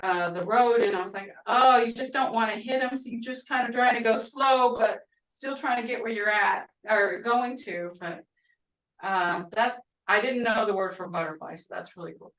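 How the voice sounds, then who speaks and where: A woman with an Oklahoma twang teaching a language class over a video call, chatty and quick to laugh. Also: on the high side, a bit bright. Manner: chatty, laughing often, storytelling asides. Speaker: language instructor. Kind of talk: casual online class teaching. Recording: roomy, distant video-call audio.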